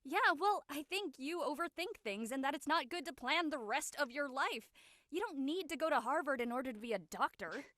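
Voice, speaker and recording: A woman plays a feisty, carefree high school girl in an audio drama. Her voice is high and very animated, and she talks in a blunt, breezy way with a crisp bright edge. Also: fairly quick, no echo. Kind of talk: online dramatic table read.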